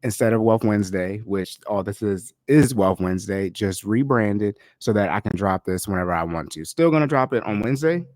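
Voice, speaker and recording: A man with a lowish voice hosts his own podcast in a relaxed, slangy manner. He sounds stuffed up and nasal from a lingering cold. The sound is close and dry with no room echo. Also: brisk, hardly any pauses. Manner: loose, chatty, slangy delivery. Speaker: podcast host. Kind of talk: casual solo podcast talk. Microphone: podcast microphone.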